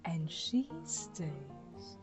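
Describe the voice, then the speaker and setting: A woman voicing a kindly, knowing old village healer in a breathy whisper, as in a storybook. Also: low-pitched, very animated, very slow, heavily acted. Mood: gentle, grave. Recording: music under the voice.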